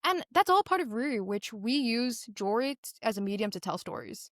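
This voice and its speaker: A woman's voice: fairly high pitch, very animated, a little fast, no echo, clean studio sound. A teenage girl from Singapore giving earnest, conversational interview answers as a young business founder, telling stories animatedly with a lot of "like". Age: teens.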